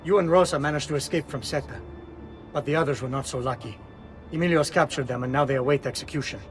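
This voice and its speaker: Game thief lieutenant, male actor: Italian accent, practical schemer, mid-pitched, music underneath. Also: quick pace, close to an everyday voice. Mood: concerned. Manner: matter-of-fact, scheming. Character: businesslike male thief planning jobs for his gang. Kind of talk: video game cutscene acting. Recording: loud music behind.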